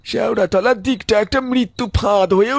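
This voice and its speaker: A man's voice: high voice, brisk, vocal fry, no echo. A man playing a fearful, pleading villager in a cartoon horror story, repeating his words anxiously.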